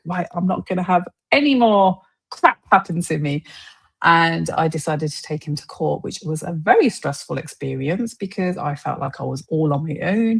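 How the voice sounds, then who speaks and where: A woman's voice: deep voice, creaky, husky. A woman guest telling her personal story in conversation, candid and informal. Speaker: podcast guest.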